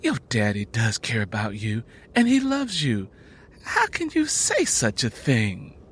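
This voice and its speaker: A man's voice: mid-range voice, wildly swooping pitch, measured pace, a bit bright, breathy edge, exaggerated voice. A man voicing a stern, protective, weary Southern American mother who speaks firmly in rustic dialect, in an audiobook story. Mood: pleading, protective. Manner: firm, admonishing rustic dialect.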